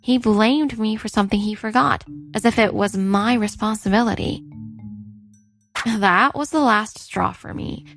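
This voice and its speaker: A woman's voice: medium pitch, unhurried, a bit bright, faint music behind. A woman acting and narrating as a weary but outspoken wife in her thirties in a dubbed story. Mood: weary, matter-of-fact. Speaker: narrator and lead character.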